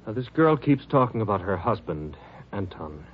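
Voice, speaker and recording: A man's voice: lowish voice, very animated, normal speed. A man playing a frustrated, weary hospital doctor in 1950s radio drama style. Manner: exasperated, brooding. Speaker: doctor character. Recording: muffled, noisy old radio recording.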